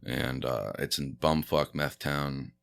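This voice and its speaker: Very deep creaky-voiced man, loose and rambling. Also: fairly even intonation, slow, a bit bright. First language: Spanish. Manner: rambling, laid-back, many fillers and self-corrections. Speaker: stand-up comedian guest. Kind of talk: casual podcast chat.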